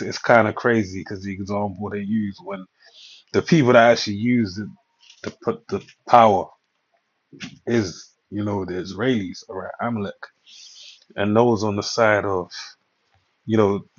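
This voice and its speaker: A man's voice: lowish voice, fairly even intonation, unhurried, husky. A man giving informal news commentary, reading items aloud and reacting in a rambling way with preacher-like asides. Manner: rambling, preacher-like asides, repeatedly checks with "all right". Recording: dull lo-fi microphone.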